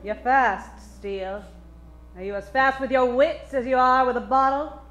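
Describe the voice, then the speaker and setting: A woman's voice: high-pitched, fairly even intonation, a little slow, fairly bright tone, lo-fi sound, a little hiss or hum. A woman playing a brassy, desperate, strong dame client in a comedic detective radio play, with hard-boiled melodramatic patter. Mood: sly, flirtatious.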